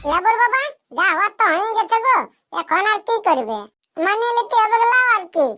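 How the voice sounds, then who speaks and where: Cartoon mum, played by a woman, practical peacemaker, extremely high bright voice, Purulia Bengali accent. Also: animated, fairly quick, exaggerated voice. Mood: placating. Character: practical, peacemaking village mother. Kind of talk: comic cartoon dialogue.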